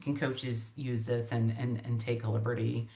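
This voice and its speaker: A woman's voice: rumbling low, little pitch movement, normal speed, dark tone. A woman executive coach as an interview guest on a video call, explaining her ideas with enthusiasm and some restarts.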